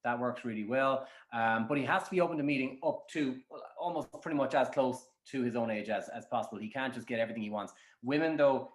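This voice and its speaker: A man's voice: mid-range voice, fast talker, few pauses. Blunt Irish man in an echoey room. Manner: blunt, frank, rambling with restarts. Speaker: matchmaker guest. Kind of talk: casual podcast chat.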